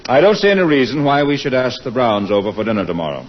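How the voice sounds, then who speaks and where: A man's voice: medium pitch, big pitch swings, lightly acted. A man playing a grumbling, good-hearted father. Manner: brisk and grumbling. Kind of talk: 1940s radio acting. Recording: dull lo-fi old radio broadcast.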